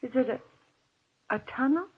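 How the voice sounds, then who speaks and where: An actress playing a timid, anxious wife, hesitant and pleading, in an old-time radio horror drama. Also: female voice, high voice, expressive, takes it slowly, slightly rough, exaggerated voice. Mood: hesitant, afraid. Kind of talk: golden age radio horror acting. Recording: tinny, narrow old radio recording.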